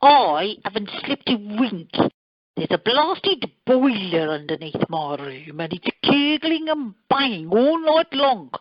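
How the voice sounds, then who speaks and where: A woman's voice: medium pitch, big pitch swings, slow, no room sound. A woman playing a cantankerous, demanding hotel guest in an amateur radio comedy, over a dull conference line. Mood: indignant.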